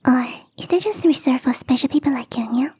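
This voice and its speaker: A woman voicing a young catgirl gardener in an ASMR roleplay, teasing, coy and playfully smug, with a breathy, whispery voice. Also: sits high, fairly quick, mellow, dry recording, clean studio sound. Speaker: voice actress.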